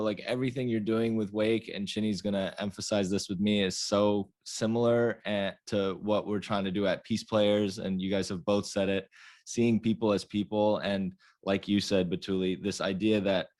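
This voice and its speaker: A man's voice: on the low side, little pitch movement, normal speed. A man co-hosting a podcast over a video call, enthusiastic and rambling, often restarting his sentences. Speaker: podcast co-host.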